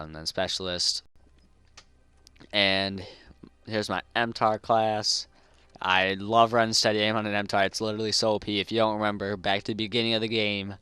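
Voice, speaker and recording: A man's voice: sits low, bright, forceful tone, smooth, dry recording. Young man, slurry speech from a dental appliance. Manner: rambling, filler-heavy, informal gamer slang. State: sick. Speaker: competitive gamer and video host. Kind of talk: casual gameplay commentary. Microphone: gaming headset mic.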